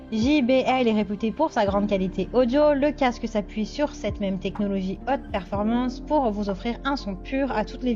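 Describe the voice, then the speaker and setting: A woman's voice: fairly high pitch, warm tone. A woman presenting a scripted product review as a voice-over, reading with occasional hesitations. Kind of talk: scripted top-list product review narration. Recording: dull lo-fi voice-over with music. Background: music.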